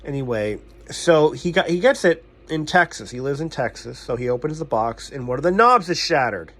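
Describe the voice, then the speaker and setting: A man's voice: fairly high pitch, animated, moderate pace, a bit bright. Man explaining casually, blunt and informal. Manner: informal, blunt, self-deprecating. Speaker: radio repair technician and channel host. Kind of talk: casual explanatory vlog talk.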